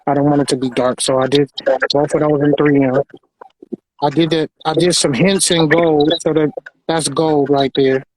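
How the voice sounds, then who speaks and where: A man's voice: fairly high pitch, fairly even intonation, moderate pace, dry recording, poor audio. A man from Los Angeles with an American accent, casually showing off his sneaker collection and often repeating his phrases. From Los Angeles, United States. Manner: repeats phrases, informal slang. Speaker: sneaker collector and designer presenting his pairs. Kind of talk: casual show-and-tell chat.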